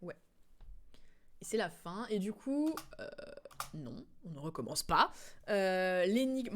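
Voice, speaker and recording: Young woman streamer rambling casually about a game, slangy, medium pitch. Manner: informal, slangy, rambling explanations. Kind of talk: casual chatty commentary on game cutscenes.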